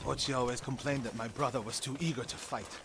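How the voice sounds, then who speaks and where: An actor playing an earnest warrior monk, grieving yet resolute, speaking in a solemn, measured, intense way for a video game drama. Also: male voice, medium pitch, fairly even intonation, noisy background, natural voice. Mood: bitter, earnest. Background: game music.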